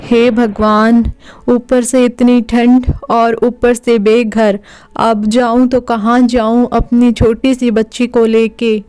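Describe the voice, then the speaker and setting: A woman playing a poor, gentle, homeless young mother in a cartoon, speaking in a plaintive, pleading way. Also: a little high, level tone, slow pace, clear, resonant tone, faint music behind. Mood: sorrowful, reassuring.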